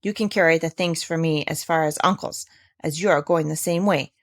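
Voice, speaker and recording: A woman's voice: low-pitched, a little fast. A woman voicing an exasperated, indignant aunt who scolds emphatically in a storybook read-aloud. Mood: brisk. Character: scolding, exasperated young aunt in a children's classic.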